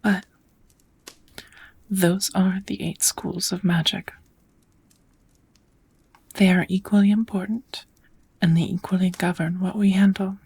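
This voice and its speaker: A woman voicing a kind, knowledgeable witch who gently teaches magic in a measured, whispered ASMR roleplay. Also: medium pitch, fairly even intonation, full character voice. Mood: kind, patient. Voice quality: soft, breathy whispering.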